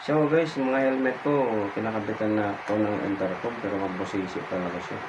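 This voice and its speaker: A man's voice: fairly low pitch, fairly even intonation, a little fast. A Filipino man giving a casual hands-on tutorial, informal and repeating his phrases as he demonstrates. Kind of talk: casual hands-on how-to chat. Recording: very noisy background ambience.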